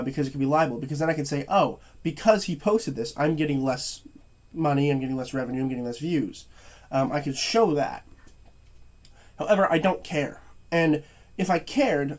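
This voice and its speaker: Young male movie reviewer, slightly high voice, stuffy and tired, stutters, halting delivery. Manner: stuttering, halting, many fillers and restarts. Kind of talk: casual to-camera rant.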